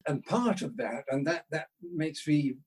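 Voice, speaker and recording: Older male interview guest, higher-pitched with a husky grain, modest, hesitant and conversational. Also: animated, unhurried. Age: 60s or older. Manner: self-deprecating, hesitant, thoughtful, rephrasing as he goes.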